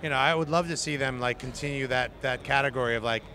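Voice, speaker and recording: A man co-hosting a movie news chat, his voice hoarse and strained, talking in an easygoing way full of "uh" and "right". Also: mid-range voice, fast talker, pushed and bright. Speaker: co-host of a movie news show. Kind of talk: casual chat about movies. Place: outdoors at a busy convention.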